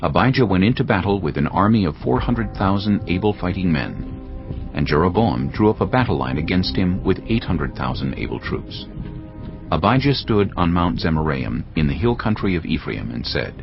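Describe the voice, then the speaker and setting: A man narrating scripture in a measured storytelling style for a dramatized audio Bible. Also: low voice, very animated, gravelly, highs cut off, music in the background.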